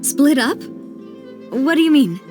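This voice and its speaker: A woman's voice: sits high, animated, a little slow, a little mellow, soft background music. A woman playing a poised, determined young lady investigator in a dramatic video game scene. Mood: resolute. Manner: poised, thoughtful, resolute.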